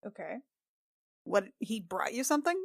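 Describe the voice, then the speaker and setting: A woman playing a female adventurer in a tabletop game, casual, tactful and curious, with halting, colloquial speech. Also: medium pitch, big pitch swings, creaky, breathy edge, dry recording, clean studio sound, exaggerated voice. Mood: curious, gentle. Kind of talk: in-character tabletop roleplay.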